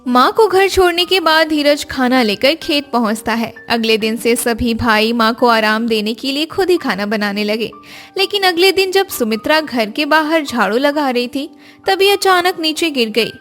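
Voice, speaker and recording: High-pitched woman narrating a story. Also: a bit bright. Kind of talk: scripted storytelling narration for a cartoon.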